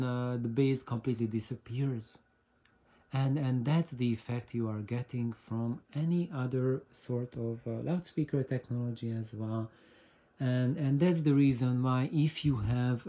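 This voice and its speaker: Slow-paced man with a mellow, halting delivery. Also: mid-range voice. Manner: hesitant, halting phrasing with repeated words. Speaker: host of an audio hobbyist channel. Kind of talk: informal explainer talk to camera.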